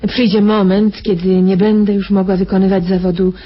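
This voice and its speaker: A woman's voice: mid-range voice, little pitch movement, moderate pace, natural voice. Actress playing a practical, motherly nurse in dull audio. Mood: businesslike. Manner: cooing endearments and diminutives to patient, businesslike otherwise. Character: practical, lonely private nurse, mercenary, cloyingly motherly to patients. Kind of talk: radio play acting. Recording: dull lo-fi radio play, faint noise.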